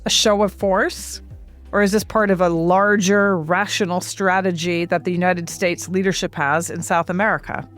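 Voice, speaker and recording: A woman's voice: on the low side, steady tone, normal speed, soft background music. A woman hosting a policy podcast, reading a scripted intro and asking probing interview questions in a poised manner.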